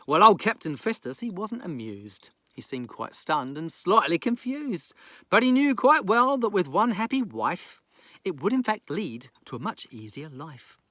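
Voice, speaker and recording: A man's voice: sits high, sing-song, unhurried, bright, forceful tone, dry recording. A man from New Zealand telling a rhyming children's story in a theatrical, playful pirate persona. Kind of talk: rhyming children's story read aloud with casual asides.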